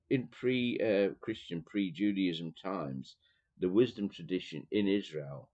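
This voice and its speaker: A man with a Dutch accent, reading from a theology book and pausing to explain, with many fillers and restarts. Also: low-pitched, a little mellow, muffled recording. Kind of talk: reading aloud with commentary.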